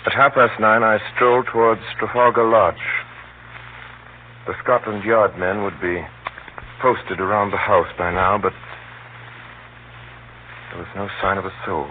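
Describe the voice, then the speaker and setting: An actor playing a determined but self-doubting adventurer, narrating in a confiding, dramatic, conversational 1930s radio style. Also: male voice, lowish voice, fairly even intonation, a little slow, long pauses, lightly acted. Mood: confiding narration. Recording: muffled, scratchy 1930s radio broadcast with hum.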